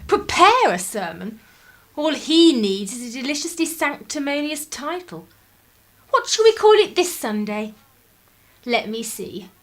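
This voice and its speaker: An actress playing a defiant, idealistic eighteen-year-old English daughter in a radio drama, assertive and sardonic. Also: female voice, high voice, sing-song, unhurried. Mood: sarcastic. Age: young adult.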